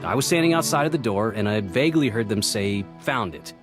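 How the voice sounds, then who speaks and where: Concerned male officer, video game, acted by a man: medium pitch, expressive, matter-of-fact, faint music. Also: fast talker, natural voice.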